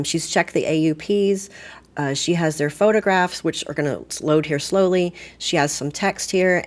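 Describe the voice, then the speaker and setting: A woman explaining software step by step in a conversational way, like a staff trainer walking through a screen. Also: low voice. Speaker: school administrator training staff. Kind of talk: informal software tutorial, step-by-step screen walkthrough.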